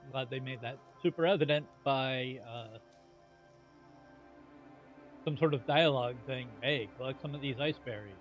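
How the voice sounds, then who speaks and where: A man narrates his own gameplay in an offhand, wry way. His mid-range voice halts and picks up as he thinks aloud. Game music runs clearly underneath. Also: medium pitch, moderate pace, pauses often, dull audio. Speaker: gaming video creator. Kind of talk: casual let's play commentary.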